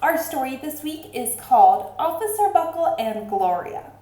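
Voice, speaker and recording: A woman reading aloud expressively to children like a teacher, deliberate and addressing them directly. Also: high voice, big pitch swings, dark tone. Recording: roomy, echoing sound.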